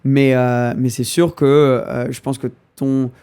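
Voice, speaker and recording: A man's voice: mid-range voice, flat delivery, takes it slowly, warm tone, clear, resonant tone. A young man whose first language is English, a podcast guest who talks casually and hesitantly while he searches for French words.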